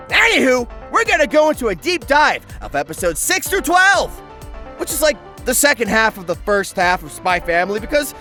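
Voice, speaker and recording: Woman recap narrator, bright forceful voice, sarcastic, theatrical, foul-mouthed, extremely animated, music underneath. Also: a little high. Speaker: narrator, host. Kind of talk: comic scripted recap narration.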